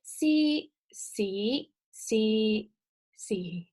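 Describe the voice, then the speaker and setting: Woman, Cantonese first language, slide lecture, somewhat high pitch, measured, explanatory, fillers. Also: expressive, a little mellow. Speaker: presenter.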